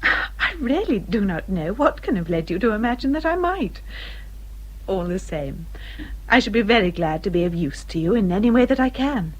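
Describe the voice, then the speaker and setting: A reserved, earnest young gentlewoman from a Regency radio play. Her English voice sits at a middle pitch and is a little husky. She speaks formally and politely, with a hesitant care. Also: very animated, moderate pace, lo-fi sound. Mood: earnest, firm. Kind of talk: period radio drama acting.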